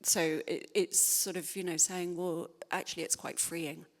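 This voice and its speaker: A woman's voice: on the low side, fairly even intonation, unhurried, long reverb tail. A woman writer answering audience questions in a thoughtful, hesitant way, with many fillers and restarts. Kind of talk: spontaneous public Q&A talk.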